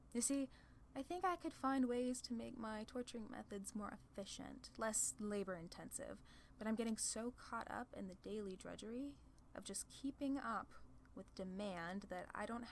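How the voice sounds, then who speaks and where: A woman's voice: a little high, vocal fry, slight background noise. A woman voicing a playful, proud demon ruler of hell in a casual, sardonic ASMR roleplay. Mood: persuasive, businesslike. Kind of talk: ASMR roleplay audio drama.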